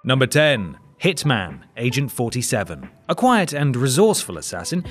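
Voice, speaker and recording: A man hosting a scripted countdown show, narrating with wry, sarcastic asides. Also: medium pitch. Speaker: host of a video game list show. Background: music.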